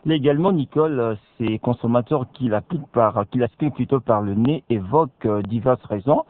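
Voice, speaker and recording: Radio co-host, dry tinny sound. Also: male voice, mid-range voice, a little fast, no echo. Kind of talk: radio magazine presenting. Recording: dry narrow phone-like band.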